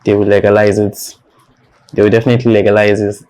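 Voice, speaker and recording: A man's voice: on the low side, flat delivery, fast talker, darkish tone. A young man with a Nigerian accent, a guest in an interview, talking bluntly and defiantly and repeating his points for emphasis. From northern Nigeria. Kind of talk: candid personal interview.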